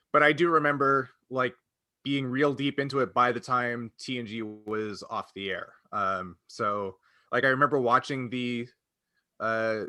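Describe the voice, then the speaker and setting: A man's voice: mid-range voice, bright, forceful tone, dry recording, clean studio sound. A man chatting casually as a podcast co-host, reminiscing in a rambling, hesitant way that often doubles back on itself. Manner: rambling, hesitant, self-interrupting. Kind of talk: casual chat, personal reminiscing.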